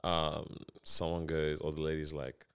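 A deep-voiced man with a blended Nigerian, British and Australian accent, chatting playfully in slang as a podcast host. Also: completely flat delivery, a little slow, vocal fry, clear, resonant tone. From Australia, born in Nigeria. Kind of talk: casual chat.

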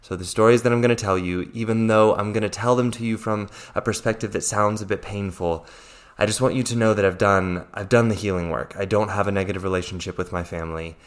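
A man's voice: lowish voice, steady tone, fast talker. An American man from Virginia hosting his own podcast as a mindset coach, speaking in an earnest, reassuring way that often repeats itself. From Virginia, USA. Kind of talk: solo podcast monologue.

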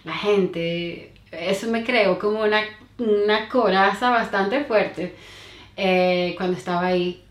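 A Venezuelan-accented woman in her thirties speaks in an unhurried, halting way, often circling back and starting over. Her voice sits at a medium pitch and carries a noticeable room echo. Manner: hesitant, many fillers and restarts. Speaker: interview guest. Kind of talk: casual conversational interview.

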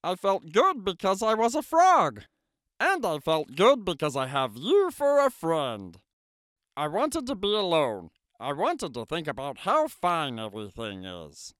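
A man voicing a cheerful, contented male frog in a high, lilting voice. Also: wildly swooping pitch, measured pace, a bit bright, no room sound, studio quality, heavily acted.

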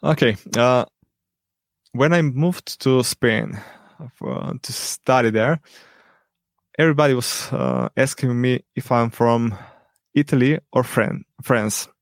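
Slow, gravelly man with frequent ums. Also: mid-range voice, expressive, no room sound. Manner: hesitant, many ums and pauses. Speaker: teacher and podcast guest. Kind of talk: unscripted interview answers.

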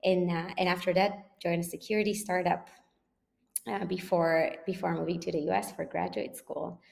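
Woman, from Israel, gravelly, lowish voice, thoughtful interview answers. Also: little pitch movement, moderate pace, a little mellow, long reverb tail. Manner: thoughtful, many ums and self-corrections. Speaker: startup CEO guest.

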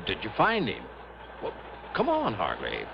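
An actor playing a plain-spoken, commanding small-town police officer in an old radio drama. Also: male voice, lowish voice, huge pitch swings, unhurried, vocal fry, noisy background, heavily acted. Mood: insistent. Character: brisk small-town police officer, firm but steadying. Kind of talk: 1950s-60s radio drama acting. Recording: muffled old radio broadcast.

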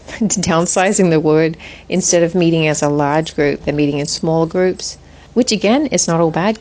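A woman in her forties, a guest in an interview, talking in a thoughtful, measured way with frequent restarts. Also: low-pitched, a little mellow. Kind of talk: reflective interview conversation.

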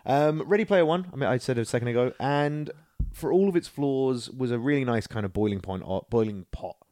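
A Scottish man co-hosting a podcast, chatting casually and playfully about films and games in an informal, excitable way. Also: medium pitch, fairly quick, dry recording, studio quality. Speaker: podcast co-host.

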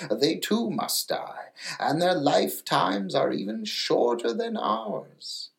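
A man voicing a proud, stern old mermaid grandmother in a storybook, with a slow, emphatic, lecturing manner. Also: high-pitched, very animated, gravelly, pitched above the performer's own voice, full character voice. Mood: solemn, instructive.

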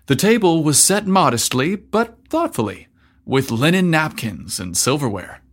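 A man narrating scripted fiction in an expressive storytelling manner. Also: on the high side, measured pace, breathy edge. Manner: expressive storytelling, acts out dialogue.

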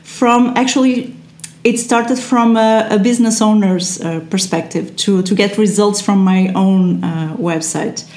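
A woman's voice: medium pitch, fairly even intonation, unhurried, a little mellow. A woman, chatting casually as a podcast guest, with many fillers and small laughs. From Portugal. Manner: chatty, frequent fillers and restarts, laughs often. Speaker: guest, SEO translator. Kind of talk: casual interview chat. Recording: echoey room.

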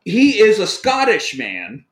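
A man's voice: sits high, wildly swooping pitch, slow pace. A man hosting a podcast, recapping a movie in a casual, joking, profane way. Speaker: podcast host. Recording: roomy echoey room.

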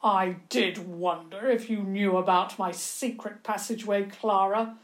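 A woman voicing a cruel older lord in a storybook, sarcastic and quietly threatening. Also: mid-range voice, fairly even intonation, unhurried, lightly acted. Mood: smug, soft threat. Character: cruel, sneering lord, menacing older uncle.

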